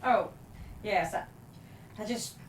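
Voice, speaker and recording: A woman's voice: slow, close to an everyday voice. A woman acting in a table read as a worried mother, hesitant and anxious while trying to stay composed. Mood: anxious, restrained. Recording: clear background noise and rumble.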